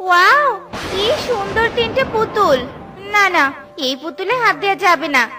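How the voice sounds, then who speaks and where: A woman's voice: very high voice, lively intonation, normal speed, bright, forceful tone, exaggerated voice. A woman voicing a kind, dutiful young woodcutter heroine in a children's cartoon, earnest and full of exclamation. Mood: worried, wondering.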